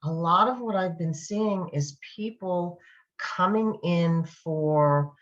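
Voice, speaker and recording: Low-voiced middle-aged woman, dull muffled audio. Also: slow. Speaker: guest author and massage therapist. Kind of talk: casual chat.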